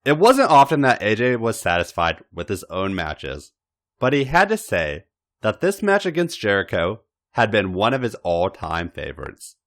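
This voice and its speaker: A man's voice: lowish voice, huge pitch swings, normal speed, a bit bright. A man narrating a story as a podcast reader, with a dramatic, emphatic delivery. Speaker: podcast host reading fan fiction. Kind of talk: expressive narrated story reading.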